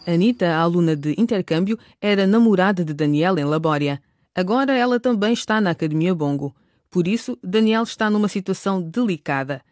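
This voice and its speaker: A woman giving scripted narration for a radio drama, recapping the story in a clear storytelling manner. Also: on the low side, expressive, brisk, darkish tone, no echo.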